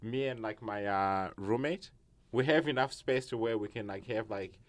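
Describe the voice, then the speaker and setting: A man with a Zimbabwean accent co-hosting a studio chat show, talking casually with many fillers and pauses. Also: lowish voice, dry recording. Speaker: podcast co-host.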